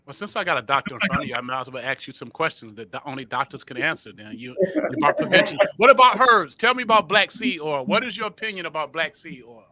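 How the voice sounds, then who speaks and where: Bright-voiced middle-aged man hosting radio. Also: fairly high pitch, lively intonation, fast talker, hardly any pauses. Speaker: radio host. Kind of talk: radio interview.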